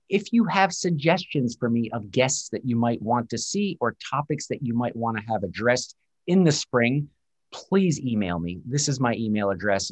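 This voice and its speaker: A man in his forties hosting an online interview series, chatty, with frequent fillers. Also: mid-range voice. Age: 40s. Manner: chatty, filler-heavy, self-deprecating. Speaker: host.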